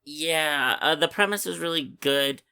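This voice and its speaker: A podcast co-host casually discussing films in a halting way, often searching for words. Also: mid-range voice, pushed and bright, clean tone.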